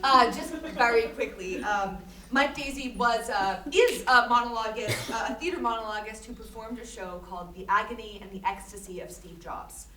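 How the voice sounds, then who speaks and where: Woman playing a halting interviewer in a noisy room. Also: fairly high pitch, lightly acted. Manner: halting, stammering, repeats words. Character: awkward, eager gallery interviewer hosting an artist talk. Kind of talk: staged play reading, mock interview. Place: live staged reading in echoey room. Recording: noisy echoey room, distant mic.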